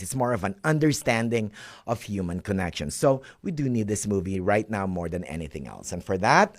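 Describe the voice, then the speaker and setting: A man giving movie reviews on a talk show, animated and playful. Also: fairly low pitch. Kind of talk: radio movie review chat.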